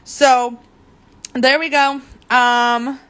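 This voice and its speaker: A woman's voice: high-pitched, flat delivery, extremely slow, a bit bright, clean tone. Chatty young woman hosting a live craft demo. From Germany. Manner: chatty, greets viewers by name, often stumbles on words. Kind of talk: casual live demo chat. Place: indoor craft table.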